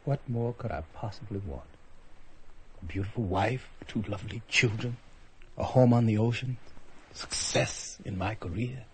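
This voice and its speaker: An actor playing a musing, self-questioning husband and politician haunted by visions, in a dramatic radio play. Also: male voice, on the low side, normal speed, slight background noise, natural voice. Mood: wistful musing.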